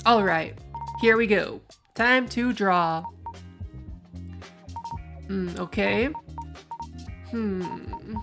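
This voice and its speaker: A young woman with a raspy voice, chatting in a rambling, filler-heavy way while she plays a game on a live stream, speaking into a desk microphone. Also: mid-range voice, extremely slow, pauses often, music in the background. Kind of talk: casual live-stream gaming chat.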